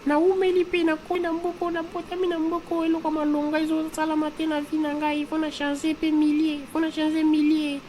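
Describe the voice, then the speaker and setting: Woman voicing high-pitched emphatic young Congolese woman. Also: monotone, brisk, hardly any pauses, warm tone, a little hiss or hum, close to an everyday voice. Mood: fed up. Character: fed-up young woman, football lover, longing to leave. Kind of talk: amateur cartoon dialogue.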